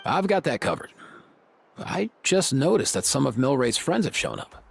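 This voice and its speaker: A gravelly, laid-back young chief. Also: male voice, mid-range voice, big pitch swings, moderate pace, full character voice. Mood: easygoing. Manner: slangy, easygoing. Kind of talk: video game voice acting.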